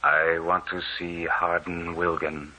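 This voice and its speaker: Deep, hollow walking corpse. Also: male voice, level tone, takes it slowly, bright, forceful tone, exaggerated voice, low-quality recording. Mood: cold, relentless. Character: walking dead man, hollow, relentless, vengeful. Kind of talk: 1940s radio horror acting. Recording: faintly hissy old radio recording.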